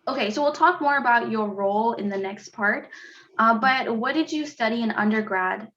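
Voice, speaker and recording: A woman's voice: on the high side, moderate pace, a bit bright, highs cut off, a little hiss or hum. A woman hosting an interview, asking questions and giving short acknowledgments. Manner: short back-channel replies. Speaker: host, interviewer.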